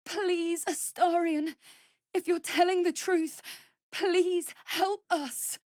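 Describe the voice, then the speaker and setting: A woman's voice: very high voice, steady tone, slow pace, darkish tone, no room sound, clean studio sound. An actress playing an earnest, anxious female vampire spawn, a loyal sister who speaks formally, in a video game. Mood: pleading. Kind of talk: dramatic video game voice acting.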